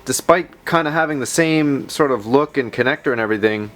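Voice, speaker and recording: A man's voice: mid-range voice, fairly quick, a bit bright. A man hosting a tech video in a casual, chatty way, with rambling, self-deprecating asides. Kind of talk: casual vlog narration.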